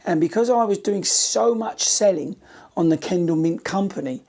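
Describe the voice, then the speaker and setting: A British man, a running coach, talking casually to camera in a shoe review while nearly losing his voice, croaky and hoarse. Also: high-pitched, normal speed, darkish tone. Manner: chatty, cheeky, direct to viewers.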